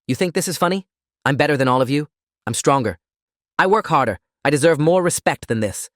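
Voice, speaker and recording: A man voicing a desperate, self-pitying gym bully who rants and accuses loudly in an audiobook story. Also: a little high, lively intonation, a little fast, no room sound, clean studio sound, pitched above the performer's own voice. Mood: indignant rage. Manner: ranting, accusatory, loud.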